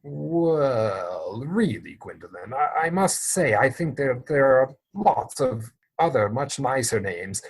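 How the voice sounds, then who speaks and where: A man playing a nervous, lovestruck gentleman suitor in a theatrical period comedy, hesitant and stammering. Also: medium pitch, sing-song, slow pace, few pauses, slightly rough, exaggerated voice. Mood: flustered, hesitant.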